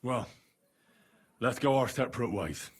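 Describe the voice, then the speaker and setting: Young medieval adventurer for a game: husky mid-range voice, calm, questioning, level-headed. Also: male voice, medium pitch, measured pace. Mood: thoughtful. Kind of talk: video game voice acting, dramatic dialogue.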